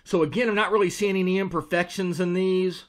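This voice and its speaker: A man's voice: sits high, steady tone, fairly quick. An American man in his forties hosting a casual product review to camera in an informal, conversational way. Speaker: host, fishing lure reviewer. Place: small indoor room with tackle-covered walls. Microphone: desk microphone beside him.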